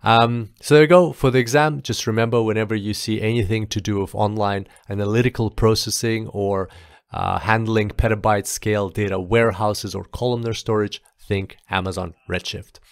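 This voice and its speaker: A man's voice: lowish voice, fairly even intonation, moderate pace, dry recording, studio quality. A man narrating an explanatory screencast tutorial as an instructor, informal and going step by step.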